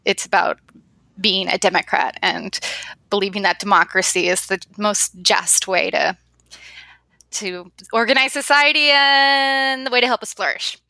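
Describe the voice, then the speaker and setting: A woman's voice: mid-range voice, measured pace, pushed and bright, no echo. A woman hosting a podcast interview, chatty and enthusiastic with playful asides. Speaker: podcast host. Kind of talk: casual interview chat.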